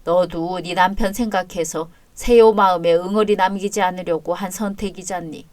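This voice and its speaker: Woman doing a soft-spoken kindly old father-in-law. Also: steady tone, normal speed, no room sound, close to an everyday voice. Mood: gentle. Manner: low, gentle, soothing.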